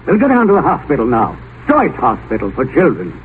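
Casting: rich old tycoon, excitable and folksy, swooping delivery; 1950 radio drama, thin noisy sound. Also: male voice, mid-range voice, brisk, narrow, tinny audio. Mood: folksy, restless. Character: rich, volatile old tycoon fearful of death.

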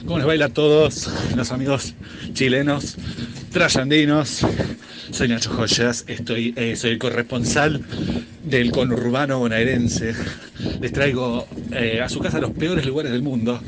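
A man's voice: medium pitch, steady tone, unhurried. A man with a Buenos Aires accent giving a rambling comedic report as a correspondent while riding a bicycle outdoors. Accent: Buenos Aires Argentine. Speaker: roving correspondent. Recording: loud street and traffic noise. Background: loud construction and vehicle noise.

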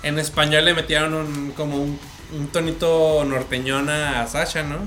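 A man's voice: fairly high pitch, flat delivery, moderate pace, fairly bright tone, a little hiss or hum. A man with a Mexican accent chatting casually and explaining things at length, often restarting his sentences. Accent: Mexican Spanish. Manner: explanatory, stumbles and restarts while building a point. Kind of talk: casual chat about an anime series.

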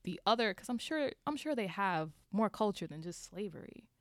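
A woman's voice: mid-range voice, very animated, normal speed, no room sound, clean studio sound. A woman thinking aloud in a casual group discussion, hesitant and thoughtful. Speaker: discussion participant.

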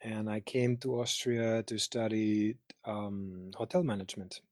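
A man's voice: lowish voice, steady tone, no room sound, studio quality. A man in his thirties from Greece with a Greek accent, talking casually as a guest and searching for words in his second language. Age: 30s. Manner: halting, searching for words in non-native English. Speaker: interview guest. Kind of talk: casual personal conversation.

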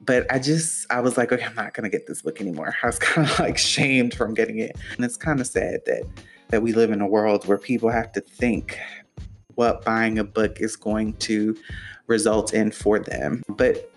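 A man's voice: on the low side, fairly even intonation, moderate pace, soft background music. A young man hosting his own podcast, talking casually with lots of fillers and restarts in a soothing voice. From Puerto Rico. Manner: rambling, chatty, many fillers and restarts. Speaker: podcast host. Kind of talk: casual solo podcast talk.

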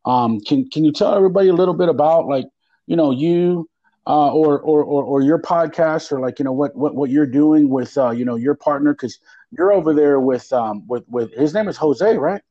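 A man's voice: a little high, normal speed, warm tone, dry recording. A man hosting a casual podcast, rambling with lots of fillers and restarts. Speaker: podcast host. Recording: muffled lo-fi audio.

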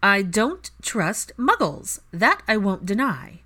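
A woman voicing an aloof, proud aristocratic mother in an audiobook story, speaking in a measured, emphatic, haughty manner. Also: medium pitch, sing-song, bright, forceful tone, pitched above the performer's own voice, full character voice. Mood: haughty, defiant.

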